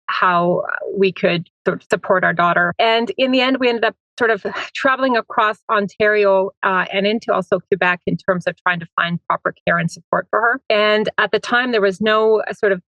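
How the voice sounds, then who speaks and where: A middle-aged woman, a foundation founder, speaking in an interview and explaining at length with frequent pauses and "um"s. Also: mid-range voice, no echo, highs cut off. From Finland. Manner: conversational, many "um"s, steady explaining. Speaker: foundation president and founder, guest. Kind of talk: interview conversation.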